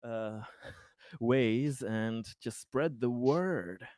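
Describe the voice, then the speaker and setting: A man casually describing his character's actions as a tabletop game player, in halting, drawn-out phrases. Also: medium pitch, darkish tone, no echo, clean studio sound.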